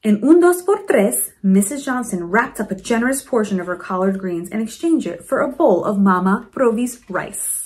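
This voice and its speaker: A woman reading a storybook aloud to children in an expressive way, with chatty asides to her young listeners. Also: medium pitch, moderate pace, hardly any pauses. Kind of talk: animated children's read-aloud.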